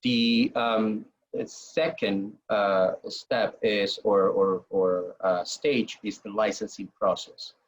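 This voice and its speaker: A man from Venezuela with a Venezuelan Spanish accent, explaining things haltingly as a webinar panel moderator and often repeating words. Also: lowish voice, level tone. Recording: dull lo-fi webinar audio.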